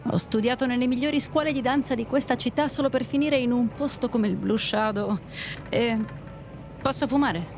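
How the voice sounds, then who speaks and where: An actress playing a bitter, cynical nightclub dancer in an audio drama, with a curt, blunt, sardonic manner. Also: female voice, fairly high pitch, moderate pace, a little mellow, faint music behind, close to an everyday voice. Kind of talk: Italian audio drama acting.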